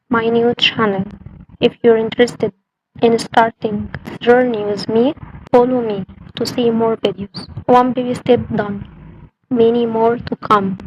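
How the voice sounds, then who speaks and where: A woman's voice: on the high side, background noise. A woman in her twenties from Egypt, a native Arabic speaker with an Egyptian Arabic accent, talking to camera in a casual vlog in careful, simple learner's English. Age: 20s. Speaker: language-learning vlogger.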